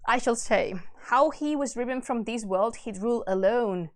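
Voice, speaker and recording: A woman reading the part of a wise, peaceable young prince from an epic in a solemn, measured, poetic manner. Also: on the high side, close to an everyday voice. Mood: gentle, resolute.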